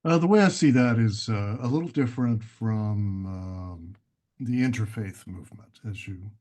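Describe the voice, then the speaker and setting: A man from the United States, an author being interviewed, answering in a measured, explanatory way over a video call. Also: fairly low pitch, darkish tone. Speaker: author and guest.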